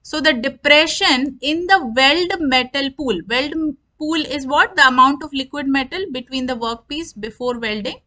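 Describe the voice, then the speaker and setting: Female lecturer, high-pitched and sharp, methodical technical explainer who restates key points. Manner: repetitive, deliberate explaining.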